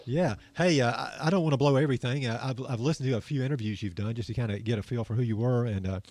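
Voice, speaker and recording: A man's voice: mid-range voice, fast, darkish tone, a little hiss or hum. A man co-hosting a running podcast, chatting with an enthusiastic, laughing manner. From Georgia. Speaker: podcast co-host. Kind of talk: casual interview chat. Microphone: video call.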